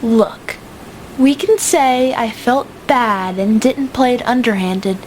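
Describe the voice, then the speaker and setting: A woman's voice: fairly high pitch, slow, a little mellow, slight background noise, rough recording. A woman playing a playful, teasing young debater who is fond of her rival, in a radio drama.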